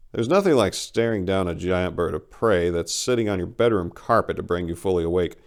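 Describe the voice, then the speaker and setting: A man giving an expressive, theatrical reading of a first-person short story as its narrator. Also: sits low.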